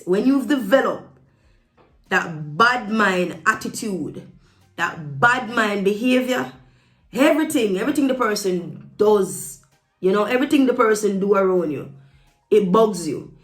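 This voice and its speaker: A woman's voice: mid-range voice, roomy sound. A woman giving casual opinion commentary to viewers, speaking emphatically and repeating phrases for stress. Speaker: vlogger.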